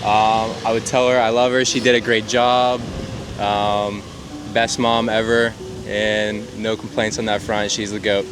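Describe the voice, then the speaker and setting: A man's voice: fairly low pitch, flat delivery, unhurried, noisy background. A man answering street interview questions in casual, slangy speech.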